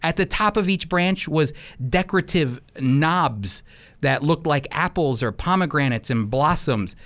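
A man's voice: on the high side, animated, a little slow, fairly bright tone. A man giving sermon-like Bible teaching, explaining earnestly and emphatically and repeating key phrases. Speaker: Bible teacher and program host.

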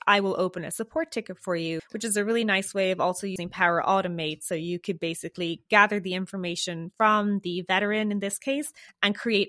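A woman's voice: lowish voice, a bit bright, dry recording, clean studio sound. A woman with a non-American accent, a guest in a casual interview, explaining things in an upbeat way and laughing easily. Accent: non-American English.

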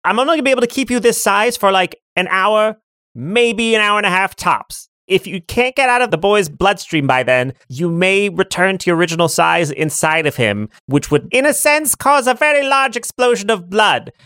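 A man's voice: high-pitched, expressive, moderate pace, bright, forceful tone, smooth, no echo, clean studio sound, voice pushed up. A man voicing a zany female witch who cackles and rambles with silly wordplay. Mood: rambling.

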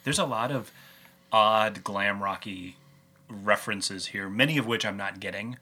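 A man's voice: on the low side, unhurried, pushed and bright, husky. A man hosting a casual fan discussion, explaining with nerdy enthusiasm. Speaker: podcast host.

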